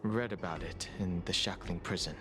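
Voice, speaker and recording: Man acting a reserved, low-voiced young man. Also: moderate pace, over music, natural voice. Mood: reserved, factual. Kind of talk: English-dubbed video game acting.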